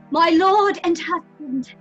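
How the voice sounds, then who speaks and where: A timid young woman of a theatre troupe in a horror audio drama. She speaks slowly in a high, meek, faltering voice, always apologetic. The sound is a little dull. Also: full character voice. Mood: nervous declaiming. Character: timid, apologetic young female actress, fearful. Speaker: actress.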